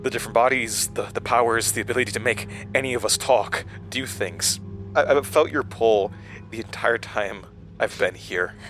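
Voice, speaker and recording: A man's voice: mid-range voice, normal speed, fairly bright tone, faint music behind, close to an everyday voice. A man acting in an audio drama as a troubled, anxious questioner who speaks in hesitant, broken, stammering phrases. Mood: strained, insistent.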